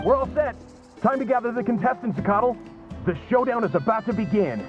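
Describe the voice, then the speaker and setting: An actor playing a brisk, excited man calling contestants together in a video game. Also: very high-pitched, highs cut off, faint music behind.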